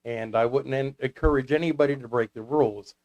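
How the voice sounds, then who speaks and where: A man's voice: mid-range voice, brisk, darkish tone, lo-fi sound. Man, American accent, casual interview guest, chatty storyteller, mid-range voice. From United States. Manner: chatty, anecdotal. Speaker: guest, CB radio club founder.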